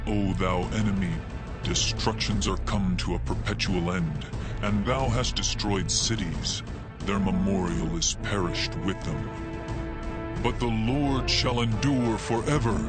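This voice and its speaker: Man declaiming a psalm over music. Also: fairly low pitch. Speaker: narrator of scripture. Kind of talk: dramatized scripted psalm reading.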